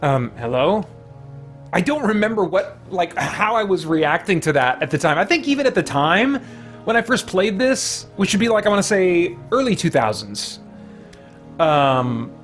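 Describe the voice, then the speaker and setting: A man hosting a game livestream, chatting casually in a rambling, conversational way as he plays. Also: a little high. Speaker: video game livestream host. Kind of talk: casual chat and play commentary.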